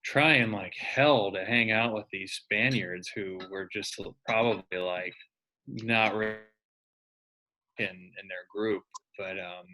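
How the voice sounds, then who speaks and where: Young male, fairly low but bright, informal, searching for words as he goes. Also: low-quality recording. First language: English. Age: young adult. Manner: hesitant, halting phrasing with many "like"s. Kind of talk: casual chat. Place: online video call.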